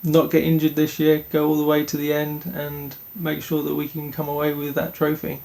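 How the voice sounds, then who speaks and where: A young man from Denmark, a speedway rider answering interview questions in an earnest, halting way with many restarts. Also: on the high side, completely flat delivery, gravelly, darkish tone.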